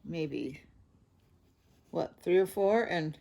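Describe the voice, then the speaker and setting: A woman reading a picture book aloud to children, gently chatting and stopping to ask the listener questions. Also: low-pitched, drawn-out words, gravelly. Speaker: storybook reader.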